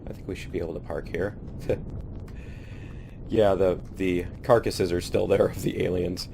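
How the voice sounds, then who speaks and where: A man with a very low voice, a bit under the weather with a cold, talks his way through a video game in a relaxed, chatty way. He pokes fun at himself as he plays. Steady hiss and game noise sit behind his voice. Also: moderate pace, creaky. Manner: chatty, self-deprecating, laughs at own mistakes. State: has a cold. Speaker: gaming YouTuber. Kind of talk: casual let's-play commentary.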